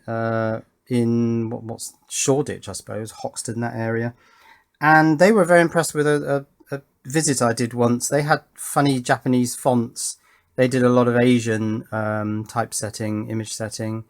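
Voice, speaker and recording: A middle-aged man, talking casually and thoughtfully as he hosts an electronics tutorial, rambling a little in a matter-of-fact way. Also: mid-range voice. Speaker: electronics hobbyist video host. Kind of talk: casual chatty tutorial narration with reminiscence.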